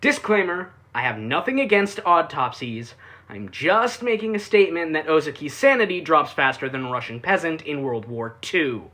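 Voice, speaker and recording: Animated reviewer with sweeping intonation. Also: mid-range voice, normal speed, slight background noise. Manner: sarcastic asides and dry jokes. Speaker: anime reviewer, narrator. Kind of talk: casual scripted video review narration.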